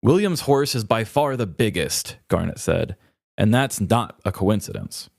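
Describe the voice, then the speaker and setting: Guy cohosting a comedy podcast, jokey, reads article quotes, pitch bounces around a lot. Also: expressive, a little slow, gravelly, darkish tone, no room sound, clean studio sound. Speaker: podcast co-host. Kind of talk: casual comedic chat.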